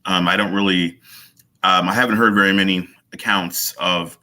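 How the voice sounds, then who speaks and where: A man's voice: deep voice, fairly even intonation, normal speed, bright, forceful tone. A man from Virginia, a guest author in an interview, who talks in a thoughtful, hesitant way with many fillers. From Virginia, USA. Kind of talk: casual interview.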